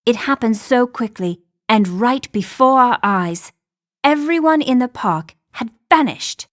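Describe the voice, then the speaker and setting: A woman playing an earnest young storyteller, narrating a scripted mystery for an animated game. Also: a little high, a little slow, no echo, studio quality.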